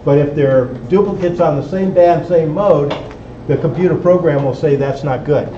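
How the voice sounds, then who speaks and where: Guy teaching a club class, kinda mellow dark voice, mic is far off in an echoey room. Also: a little high, moderate pace. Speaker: instructor at an amateur radio club. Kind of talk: hands-on group teaching, explaining to a room. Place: indoor club meeting room. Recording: echoey room, distant dull mic, faint noise.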